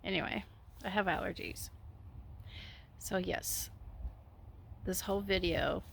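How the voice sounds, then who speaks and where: Hoarse slow-talking woman in a garden. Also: medium pitch, fairly even intonation. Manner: soft-spoken and unhurried, drifting into a whisper. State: losing her voice, hoarse. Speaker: gardening vlogger. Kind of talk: casual chat. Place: outdoors in a backyard garden with chickens. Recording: faint outdoor noise. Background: birds and chickens.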